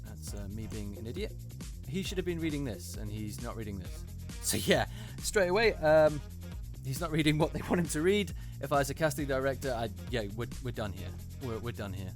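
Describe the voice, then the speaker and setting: A man hosts with loose, unscripted commentary over clearly audible music. His voice sits at a middling pitch, and he speaks haltingly, circling back to repeat points for emphasis. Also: lively intonation. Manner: hesitant, repeats himself for emphasis. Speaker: host, voice actor reviewing auditions.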